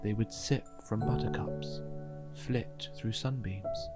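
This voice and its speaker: A storybook narrator giving a gentle, scripted reading for children, with music behind the voice. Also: mid-range voice, takes it slowly. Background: music bed.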